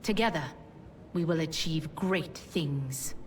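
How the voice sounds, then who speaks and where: A woman playing a shrouded, scheming rebel leader in a fantasy game, grave and deliberate. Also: low-pitched, slight background noise. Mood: grave, conspiratorial. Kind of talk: fantasy video game voice acting. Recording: distant voice with background ambience.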